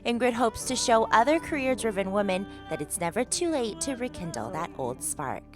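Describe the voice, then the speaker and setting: A woman's voice: a little high, very animated, moderate pace. A woman reporter narrating a local TV feature in a polished, upbeat scripted delivery. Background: music.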